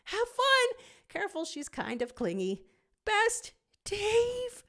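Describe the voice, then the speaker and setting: Woman doing a cartoon intern lad, polite, chirpy, eager, high-pitched. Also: huge pitch swings, slow pace, bright, forceful tone, exaggerated voice. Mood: eager, cheerful.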